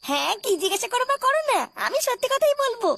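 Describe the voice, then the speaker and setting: A woman's voice: very high-pitched, very animated, fast talker, gravelly, pushed and bright, a little hiss or hum, full character voice. A woman voicing an innocent little schoolgirl in a cartoon, talking simply and earnestly. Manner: simple, earnest childlike talk. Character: innocent, frightened little schoolgirl, sweet and truthful.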